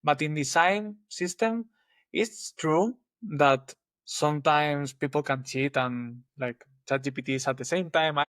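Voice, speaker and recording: A man with a Spanish accent, a guest on an interview podcast, thinking aloud in a relaxed way with many restarts. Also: on the high side, a little slow, no room sound. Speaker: guest engineering manager. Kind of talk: casual interview chat.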